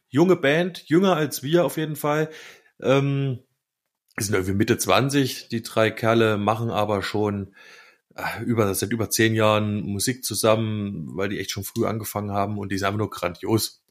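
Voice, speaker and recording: Man, lowish voice, slangy, colloquial, casual podcast co-host. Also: normal speed.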